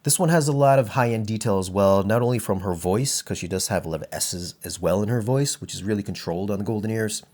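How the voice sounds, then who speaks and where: Low-pitched man reviewing audio gear. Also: fast, hardly any pauses, a little mellow. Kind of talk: casual product review talk.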